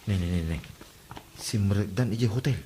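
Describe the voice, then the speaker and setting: A man's voice: fairly low pitch, normal speed, mellow, slight background noise, natural voice. A man playing an easygoing, bantering big-brother street sweeper in an Ilocano comedy drama. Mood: coaxing.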